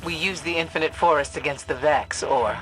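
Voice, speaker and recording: Solemn commanding female mentor played by an actress, low-voiced. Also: close to an everyday voice, rough recording. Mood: grave, resolute. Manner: measured, solemn, authoritative. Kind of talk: scripted video game voice acting. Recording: music playing behind the voice.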